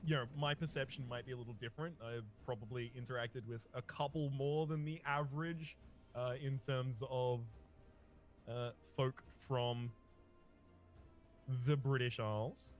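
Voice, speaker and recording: A man's voice: mid-range voice, fairly even intonation, moderate pace, faint music behind. A man joining a gaming video as a guest, bantering in a playful, joking way. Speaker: guest gamer. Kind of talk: casual let's-play banter.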